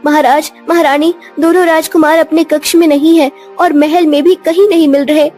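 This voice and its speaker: Woman playing an anxious, high-pitched queen. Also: steady tone, moderate pace, darkish tone, soft background music, lightly acted. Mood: frantic, tearful. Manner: fearful, pleading. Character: anxious, distressed queen, mother of two princes.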